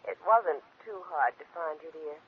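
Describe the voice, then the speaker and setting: Husky scheming ex wife, fake sweet and threatening, lilting delivery, tinny old radio. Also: female voice, sits low, lively intonation, moderate pace, exaggerated voice. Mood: mock-sweet. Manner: mock-sweet, needling. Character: silky, menacing scheming ex-wife, female. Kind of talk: 1940s radio drama acting. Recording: phone-like old 1940s radio recording.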